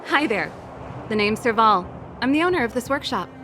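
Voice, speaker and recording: A woman's voice: a little high, lively intonation, normal speed, bright, forceful tone, soft background music. A woman playing a cool, easygoing repair shop owner in a video game, casual and confident. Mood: breezy.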